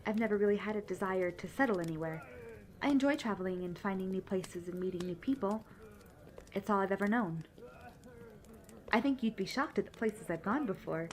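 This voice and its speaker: A woman playing a cheerful, polite young travelling bard who chats brightly and directly to the listener in a fantasy audio roleplay. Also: mid-range voice, brisk, natural voice. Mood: cheerful, chatty. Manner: chatty, bubbly, polite.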